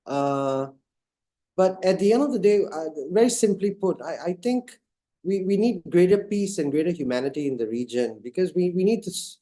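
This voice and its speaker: A man's voice: high-pitched, lively intonation, moderate pace, mellow. A man from Singapore moderating an online panel discussion, talking in a hesitant way with many fillers and restarts.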